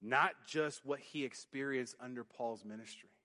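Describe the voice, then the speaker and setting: Booming preacher man in a reverberant church. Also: normal speed. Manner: booming, animated preaching that drops to quiet emphasis. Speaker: youth pastor. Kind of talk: sermon. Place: church sanctuary. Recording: long hall reverb. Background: hall reverb.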